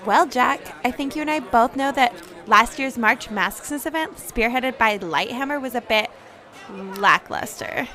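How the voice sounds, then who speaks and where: A woman's voice: fairly high pitch, unhurried, bright, forceful tone. A woman playing a vain, poised celebrity superhero being interviewed in an audio drama, diplomatic and condescending. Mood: smug. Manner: smooth diplomatic condescension, easily flustered. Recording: crowd murmur behind.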